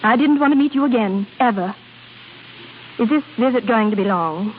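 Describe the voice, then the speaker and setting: An actress playing a proud, lovestruck opera singer in a theatrical, halting 1930s radio drama style. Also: female voice, a little high, expressive, natural voice. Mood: cool, guarded. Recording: scratchy, tinny 1930s radio broadcast.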